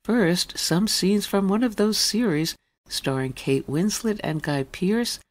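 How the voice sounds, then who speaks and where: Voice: medium pitch, lively intonation, slow. A radio host presents a scripted introduction to the next segment of an arts show.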